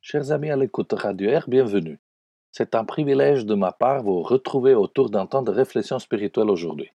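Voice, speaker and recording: A man with an Italian accent, a pastor giving an earnest spiritual radio message and speaking warmly to listeners as friends. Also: medium pitch, fairly quick, husky, dry recording, soft background music.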